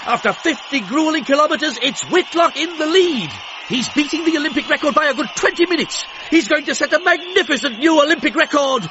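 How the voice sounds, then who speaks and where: A thrilled race commentator in a radio play. The voice is pitched extremely high and urgent, dull-sounding amid clear stadium noise. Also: male voice, animated, brisk, hardly any pauses. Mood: excited. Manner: urgent, exultant, projected. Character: excited British stadium sports commentator.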